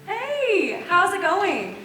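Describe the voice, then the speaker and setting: A woman's voice: very high voice, wildly swooping pitch, very slow, music in the background, full character voice. An actress playing a harried woman who runs a food festival, hesitant and full of fillers, in a staged reading. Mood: flustered. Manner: flustered, hesitant with many fillers. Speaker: festival organizer. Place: theater stage. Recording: roomy live stage sound.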